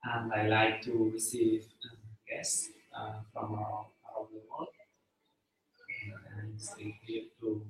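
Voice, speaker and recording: Slow, flat-voiced man in echoey room. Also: lowish voice. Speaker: home-sharing host. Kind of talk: self-introduction.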